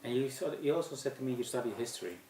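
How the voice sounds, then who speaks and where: A male interviewer puts short, soft questions to his guest. His voice sits at a middle pitch, with the clear sound of a crowd chattering behind him. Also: animated, very fast, reverberant, poor audio. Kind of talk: casual interview. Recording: busy crowd noise behind.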